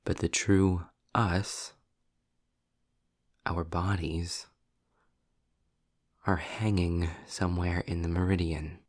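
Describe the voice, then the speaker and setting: A man playing a sardonic, world-weary demon in an intimate roleplay audio drama, deliberate and breathy, often dropping toward a whisper. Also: low voice, flat delivery, full character voice. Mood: grave, earnest. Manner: sarcastic, deliberate, sighing.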